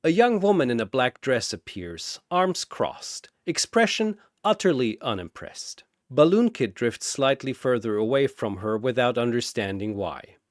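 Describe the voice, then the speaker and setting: Slow, theatrical male fantasy narrator. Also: medium pitch, very animated, no echo, studio quality. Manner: theatrical, deliberate phrasing. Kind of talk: dramatic scripted fantasy battle narration.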